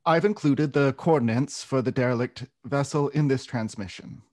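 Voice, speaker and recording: A man's voice: mid-range voice, a little mellow, lightly acted. A man playing a businesslike corporate team lead in his thirties delivering formal messages in a screenplay table read. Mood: official, businesslike. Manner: formal, measured, official.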